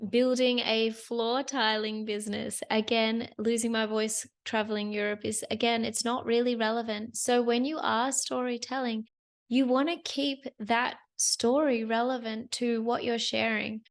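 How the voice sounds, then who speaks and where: Young woman host, voice gone hoarse, kinda high but flat, chatting away to camera. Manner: chatty, repeats phrases, lots of "you guys". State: hoarse, lost voice. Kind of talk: solo business talk to camera.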